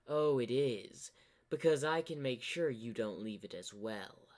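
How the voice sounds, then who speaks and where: A man voicing a sinister corrupted double of a zebra hero, smug and menacing, with theatrical, taunting, drawn-out phrasing. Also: medium pitch, animated, a little mellow, close to an everyday voice. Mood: sly, explaining.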